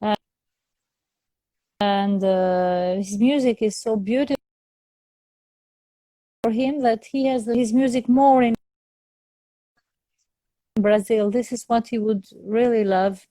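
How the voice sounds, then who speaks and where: A woman speaking English as a second language, slow and careful, stopping often for fillers and restarts. She talks at an unhurried pace in a dry, close recording with no room sound. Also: on the high side, no room sound, low-quality recording. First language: not English. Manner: hesitant, many fillers and restarts, laughs softly. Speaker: soprano opera singer, interview guest. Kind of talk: casual interview conversation in English.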